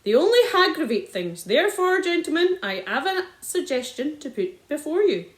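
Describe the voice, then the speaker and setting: A woman's voice: high-pitched, big pitch swings, normal speed, voice pushed up. A woman voicing a jovial, old-fashioned country police sergeant who drops his h's. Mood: hearty. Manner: dropped h's, rustic dialect. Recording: roomy echo.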